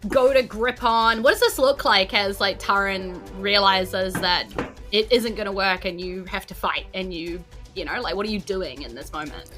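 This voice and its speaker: A woman's voice: mid-range voice, normal speed, fairly bright tone. A woman narrating a tabletop role-playing game as game master, improvising conversationally. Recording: music behind the voice. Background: background music.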